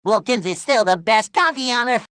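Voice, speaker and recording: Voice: lively intonation, moderate pace, a bit bright, slight background noise, lightly acted. A performer voicing a pushy, chirpy little cartoon coffee salesman with cheerful menace, in a perky ad-skit delivery. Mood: confident, pushy. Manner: perky, insistent sales pitch. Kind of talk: old TV ad skit dialogue.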